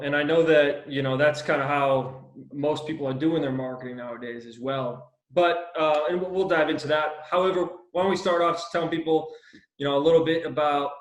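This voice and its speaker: A man's voice: fairly high pitch, reverberant. A man hosting a casual podcast interview, enthusiastic and slangy, calling his guest "dude" and "bro". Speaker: podcast host.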